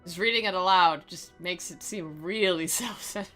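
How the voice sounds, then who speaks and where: A woman with a bright, sharp voice, a little on the low side, reads and comments on a visual novel. Her delivery is sarcastic and mock-dramatic, over faint music. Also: normal speed. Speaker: game commentator and reader.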